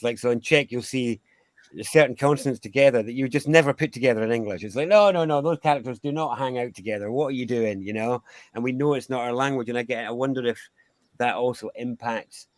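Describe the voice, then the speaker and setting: A man's voice: mid-range voice, no room sound. A Scottish man, a guest sound healer, musing in a rambling, casual way with frequent restarts and asides. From Scotland. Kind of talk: casual conversation.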